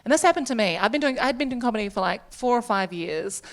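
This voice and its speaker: A woman's voice: on the high side, lively intonation, fast. A woman in her thirties from New Zealand with a New Zealand accent, doing a stand-up comedy set with chatty, self-deprecating asides. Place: comedy stage before a live audience.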